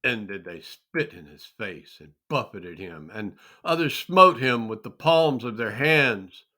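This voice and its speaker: A man reads scripture as dramatic narration. His delivery is slow and emphatic, each phrase weighed solemnly. The tone is very bright and hard-edged, and the pitch rises and falls widely. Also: sing-song. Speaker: scripture reader.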